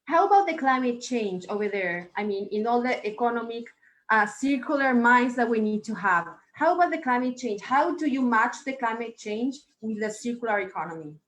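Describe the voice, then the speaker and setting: A woman's voice: fairly high pitch, expressive, normal speed, fairly bright tone. A woman hosting a live talk show, effusive and full of blessings and thanks for her guests. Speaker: host. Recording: echoey room.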